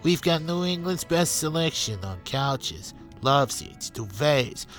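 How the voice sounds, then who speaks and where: A man playing an upbeat store pitchman in a parody of a 1930s radio commercial, with music behind him. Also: expressive, slow, fairly bright tone. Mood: upbeat selling. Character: upbeat male furniture store radio advertiser.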